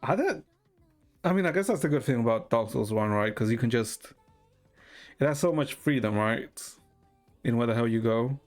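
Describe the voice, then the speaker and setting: A man's voice: medium pitch, lively intonation, normal speed, pauses often. A man streaming a game and chatting casually with viewers, thinking aloud hesitantly with some mild swearing. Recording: music playing behind the voice. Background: music.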